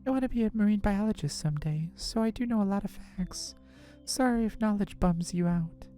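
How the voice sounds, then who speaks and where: A woman's voice: medium pitch, fairly quick, mellow, close to an everyday voice. A woman playing an earnest, bookish teenage girl in a visual novel, reciting in a matter-of-fact, lecturing way. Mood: earnest, defensive. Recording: music under the voice.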